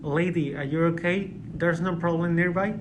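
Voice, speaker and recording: Reassuring beast hunter, acted by a man: fairly high male voice, radio play, faint noise. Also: fairly even intonation, moderate pace, natural voice. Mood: concerned. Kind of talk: amateur radio drama acting.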